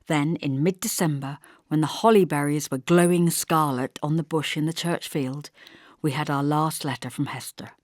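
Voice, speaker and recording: Low-pitched woman narrating an audiobook. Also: steady tone, moderate pace, dry recording, clean studio sound. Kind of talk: scripted literary narration, first-person storytelling.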